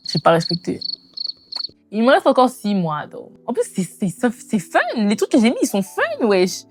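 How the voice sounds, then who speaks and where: A woman in her twenties chatting casually to viewers as a lifestyle vlogger, in an animated, informal way with slangy phrasing and bits of English mixed in. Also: medium pitch, normal speed, noisy background. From immigrated to Canada. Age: 20s. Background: background music.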